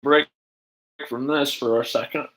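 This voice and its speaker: A man hosting a livestream in casual chat, rambling haltingly and jumping between asides as he talks to viewers. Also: medium pitch, fairly even intonation, a bit bright, low-quality recording. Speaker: livestream host.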